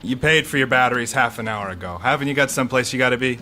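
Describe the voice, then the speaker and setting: A man playing a gruff, sarcastic, folksy hardware store clerk in an audio drama. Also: medium pitch, fast, pushed and bright, faint music behind, close to an everyday voice. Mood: sardonic irritation. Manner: sardonic, folksy, grudging. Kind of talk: radio drama acting.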